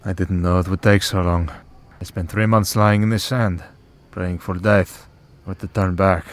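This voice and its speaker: A man playing a weary, devout and gentle vampire hunter priest in an ASMR roleplay, speaking in a measured, solemn way with pauses. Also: low voice, fairly even intonation, natural voice. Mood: solemn, reflective. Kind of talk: ASMR roleplay audio drama.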